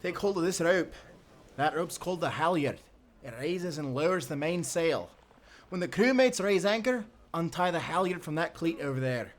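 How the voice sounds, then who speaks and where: A man's voice: on the high side, animated, moderate pace, close to an everyday voice. A man playing a hearty, friendly timberman turned sailor who talks in folksy, encouraging old-time seafaring speech in a nautical audio drama. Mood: brisk, encouraging. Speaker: sailor guiding a newcomer.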